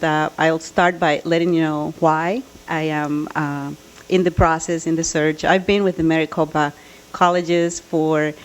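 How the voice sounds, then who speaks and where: A middle-aged woman with a low voice and a Dominican Spanish accent speaks as a candidate at a public forum. Her delivery is earnest and measured, with deliberate pauses. The sound is slightly dull, as from a live event microphone. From Dominican Republic. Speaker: college administrator and job candidate. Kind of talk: public address and candidate question-and-answer.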